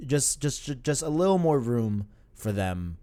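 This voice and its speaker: Animated young man rambling on a podcast. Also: mid-range voice, unhurried. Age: 20s. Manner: rambling, animated, repeats "you know what I'm saying". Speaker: podcast host. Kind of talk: casual podcast chat.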